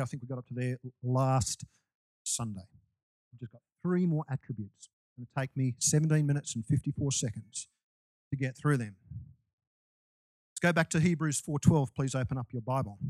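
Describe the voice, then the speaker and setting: A man's voice: medium pitch, a little mellow, no room sound, poor audio. A man preaching a sermon to his congregation in a loose, conversational way, with restarts and asides. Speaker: pastor.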